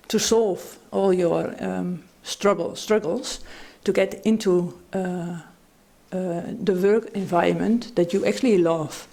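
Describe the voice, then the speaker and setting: A woman on a studio talk show panel, explaining her views thoughtfully with frequent pauses and fillers. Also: mid-range voice, creaky, mellow. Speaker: panel guest, medical anthropologist.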